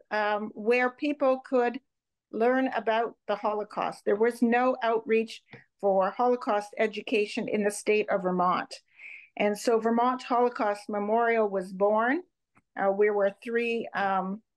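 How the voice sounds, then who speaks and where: A woman's voice: medium pitch, low-quality recording. An older woman from Montreal speaking as a guest presenter and organization co-founder over a video call. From Montreal, Canada. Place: home room indoors.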